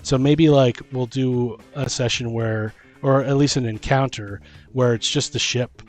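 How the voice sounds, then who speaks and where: A man co-hosting a casual chat about tabletop role-playing as a game master, thinking aloud in a halting, rambling way. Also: mid-range voice, steady tone, faint music behind. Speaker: podcast host and game master.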